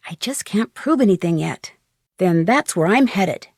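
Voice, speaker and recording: An actress playing a polite, inquisitive young female amateur detective in a video game, speaking earnestly and clearly. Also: mid-range voice, lively intonation, moderate pace, darkish tone, dry recording, studio quality. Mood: serious, confiding. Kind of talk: scripted video game dialogue.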